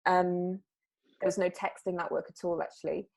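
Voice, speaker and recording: A woman artist from Wales, chatting casually in an interview and often pausing on fillers and restarting her sentences. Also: fairly low pitch, little pitch movement, gravelly, a little mellow. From South Wales. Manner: hesitant, lots of fillers and restarts.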